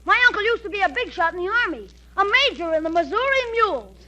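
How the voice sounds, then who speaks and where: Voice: very high-pitched, big pitch swings, quick pace, lo-fi sound. An actor playing a brash, eager, slangy schoolboy in a 1940s radio comedy. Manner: slangy, boastful, emphatic. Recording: noisy old radio broadcast with hum.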